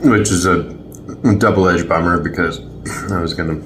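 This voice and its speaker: Very deep-voiced laid-back man. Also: fairly even intonation, moderate pace, fairly bright tone, slight background noise. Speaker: vlog co-host. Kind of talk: casual chat.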